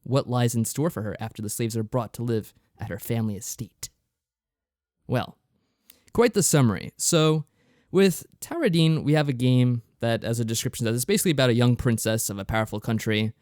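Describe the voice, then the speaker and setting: A man's voice: mid-range voice, brisk, darkish tone, no room sound. Man podcasting in a close, dry sound. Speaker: podcast co-host. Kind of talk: casual review chat.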